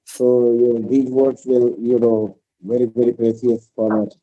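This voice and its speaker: A man's voice: mid-range voice, level tone, measured pace, warm tone, dull audio. Polite man moderating. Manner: polite, hesitant with fillers. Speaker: conference moderator. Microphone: video call.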